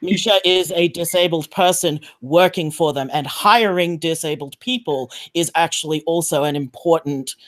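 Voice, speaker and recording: Informal, mid-pitched podcast panelist. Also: moderate pace, hardly any pauses. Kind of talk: casual panel discussion.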